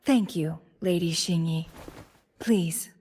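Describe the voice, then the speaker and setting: An actress playing an earnest, determined female fighter in a video game, speaking in a measured, polite and purposeful way. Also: lowish voice, dark tone, husky. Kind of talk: scripted video game dialogue.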